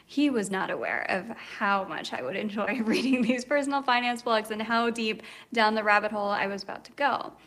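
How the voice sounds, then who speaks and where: A woman's voice: on the high side, fairly quick, hardly any pauses, a bit bright. A woman guesting on a podcast, chatting casually with a self-deprecating, storytelling manner. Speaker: podcast guest, travel expert. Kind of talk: casual interview chat.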